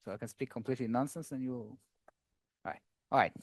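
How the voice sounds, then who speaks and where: A man's voice: mid-range voice, steady tone, fast, no echo. A man giving a casual, chatty technical talk with a live coding demo to a conference audience, joking with the room as he goes. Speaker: conference speaker.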